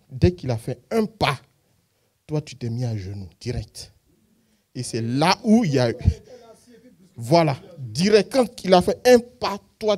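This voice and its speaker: A man's voice: mid-range voice, mellow. A man giving a spontaneous testimony in a church service, hesitant and full of fillers. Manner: hesitant, many fillers and restarts. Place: church hall.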